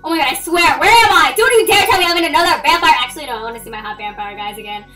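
A woman voicing an excitable, sassy, bewildered anime schoolgirl in a dramatic parody dub. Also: high voice, racing, recorded from a distance. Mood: lost, grumbling. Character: excitable foul-mouthed anime schoolgirl, bewildered and boy-crazy. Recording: roomy, shouted into mic, music behind.

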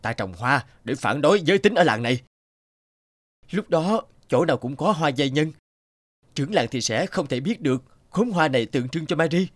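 An actor playing a gentle, grieving young father from a village in a cartoon, earnest and pleading. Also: fairly high pitch, lively intonation, moderate pace, no echo, clean studio sound. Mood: resolved. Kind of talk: cartoon dub acting. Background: background music.

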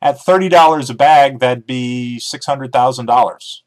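A man's voice: mid-range voice, normal speed, poor audio. A man giving a dramatic reading of a book as its author, with scripted narration and dialogue.

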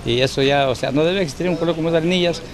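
A man's voice: on the high side, very fast, a little hiss or hum. A man in his fifties, a local business owner, complaining indignantly in a street interview into a handheld mic, repeating his points. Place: busy street market outdoors. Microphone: handheld reporter mic. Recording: busy street crowd behind.

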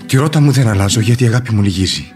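An ardent young male lover, played by an actor, with a deep voice, music behind him. He is solemn and devoted, delivering lyrical verse in declamatory radio drama style. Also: lively intonation, natural voice. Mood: ardent, sorrowful. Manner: declamatory, poetic.

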